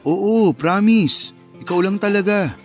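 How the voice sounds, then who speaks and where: An actor playing an earnest, romantic young boyfriend in a Filipino radio romance drama, talking in a sincere, conversational way. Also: male voice, sits high, slow pace, soft background music. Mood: casual, sincere.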